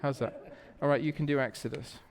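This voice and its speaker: A man's voice: medium pitch, steady tone, a little fast. A man preaching a conversational sermon as a pastor, telling stories and often repeating words while he searches for the next one. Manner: warm storytelling, repeats words when searching. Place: church sanctuary. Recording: church hall reverb.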